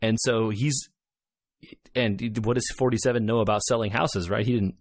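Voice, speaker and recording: A man co-hosting a podcast, chatting casually about video games and retelling things in an animated way. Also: lowish voice, normal speed, dry recording, studio quality. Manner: animated retelling, acting out quotes, laughing often. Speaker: podcast co-host.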